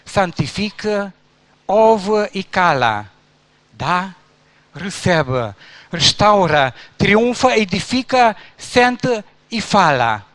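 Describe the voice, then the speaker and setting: A man speaks slowly and earnestly, his voice sitting a little higher than most. He preaches in a measured, rhythmic way and builds long spoken lists. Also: very animated, a bit bright, dry recording. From Romania. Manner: slow, earnest, rhythmic listing. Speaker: pastor. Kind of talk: sermon at an induction service.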